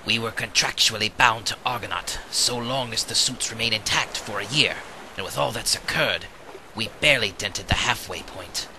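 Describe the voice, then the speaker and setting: An honourable, solemn warrior of audio drama, played by a man. He speaks formally and with measured diction in a low voice. There is clear noise in the recording. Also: lightly acted. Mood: formal, grave. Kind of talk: fan audio drama acting.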